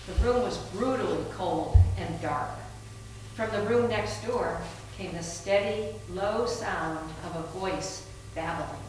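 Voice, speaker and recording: Horror narrator, female, medium-pitched and slow, recorded far off in a reverberant room with faint noise. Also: a little mellow. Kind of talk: dramatic scripted narration of a horror story.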